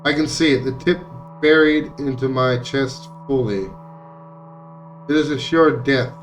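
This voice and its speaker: A man's voice: medium pitch, fairly even intonation, a little slow. Man narrating a story, distant mic. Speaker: streamer reading a visual novel aloud. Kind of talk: dramatic read-aloud narration of game text. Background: music.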